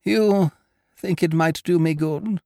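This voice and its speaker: A man's voice: a little high, very animated, a little slow, vocal fry, no room sound, clean studio sound, voice pushed up, exaggerated voice. A man voicing a haughty, fretful upper-class lady in a humorous audiobook, with a formal, plaintive, fluttering manner. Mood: wavering. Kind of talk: humorous audiobook character dialogue.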